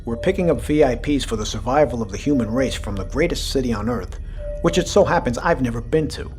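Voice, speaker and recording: Man playing a steady submarine captain over music. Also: medium pitch, fairly quick, close to an everyday voice. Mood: measured, resolute. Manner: commanding, steady, reassuring. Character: calm, seasoned male submarine captain, quietly resolute. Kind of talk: audio drama acting.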